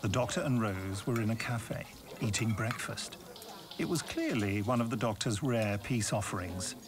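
A man's voice: on the low side, moderate pace. A man reading dramatic scripted story narration as an audiobook narrator. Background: music.

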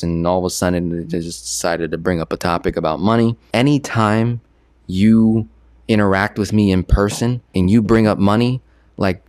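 A young man giving casual, energetic talking-head commentary on money into a studio boom mic, repeating himself and gesturing a lot. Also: smooth, no room sound. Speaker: personal finance creator. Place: small bright studio. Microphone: boom arm studio mic.

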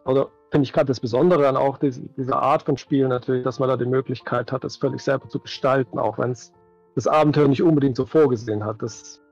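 A man's voice: medium pitch, steady tone, fast talker, darkish tone, dry recording, muffled recording. A man in his forties giving casual interview answers as a game master, thinking aloud and repeating words as he searches for them. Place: indoors at home, video call. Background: background music.

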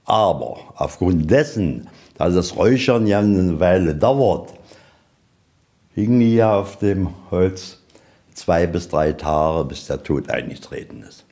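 A man's voice: low-pitched, expressive, slow, warm tone. A man, middle-aged, giving an informal, matter-of-fact guided tour in a colloquial way, often posing questions and answering them. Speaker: museum guide. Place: inside a museum, walking tour.

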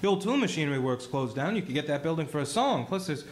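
Awkward, grumbling small-town young man acted by a man, radio drama, stammering with big pitch swings. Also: expressive, fast talker, close to an everyday voice. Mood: hesitant. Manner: hesitant, stammering. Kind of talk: radio play acting.

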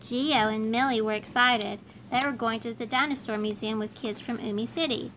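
A woman reading a children's picture book aloud in an animated, encouraging way. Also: fairly high pitch, moderate pace. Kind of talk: read-aloud children's storybook narration. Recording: faint background noise.

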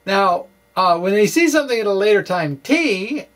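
A man's voice: high voice, lively intonation, normal speed, a bit bright. A middle-aged man gives a dramatic reading of a science blog with emphatic phrasing and spoken asides, into a stand microphone in a small room. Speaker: science video blogger reading his own blog aloud. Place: small indoor room with bookshelf. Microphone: microphone on stand in front of him.

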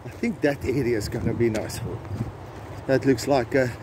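A middle-aged South African man who grew up speaking Afrikaans, hosting a casual vlog on a coastal trail, talking slowly with many fillers and restarts into a handheld phone. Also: mid-range voice. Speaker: vlogger host. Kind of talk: casual outdoor vlog narration. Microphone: phone camera held by hand. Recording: faint outdoor noise.

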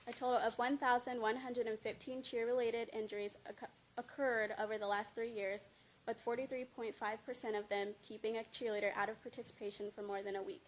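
A woman's voice: fairly high pitch, flat delivery, fairly quick. A young adult woman, a student speaker, reading a scripted informative speech from notes at a lectern microphone. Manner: reads from notes, stumbling over words. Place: indoor studio with green screen. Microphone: handheld-type mic on a stand at a lectern. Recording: clear background noise, room ambience.

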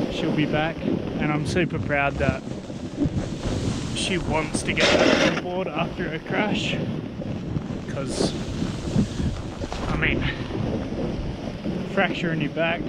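A laid-back man, chatting casually to a handheld camera while snowboarding outdoors on a snowy slope. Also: a little high. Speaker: vlogger. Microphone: action camera held at arm's length. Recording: loud wind noise on the mic.

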